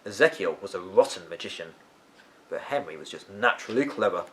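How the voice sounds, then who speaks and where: A man's voice: sits low, animated, a little fast. A man voicing a weary, tetchy but kindly eccentric uncle from a storybook, musing and emphatic in his delivery. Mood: musing, wistful. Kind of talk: storybook character voice.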